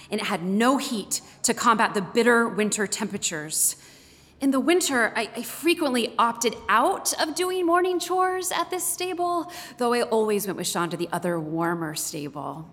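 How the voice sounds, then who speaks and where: A woman's voice: medium pitch, fairly bright tone. A woman reading a book excerpt aloud as a guest reader. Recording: echoey hall with long reverb.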